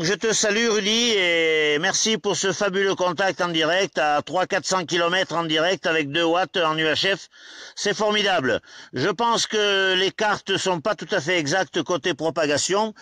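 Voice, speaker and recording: A man with a bright, forward voice talks as an amateur radio operator. He is enthusiastic and repeats himself as he addresses both his contact and online viewers. The sound is dry, with little echo. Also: sits high. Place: outdoors in woods at night.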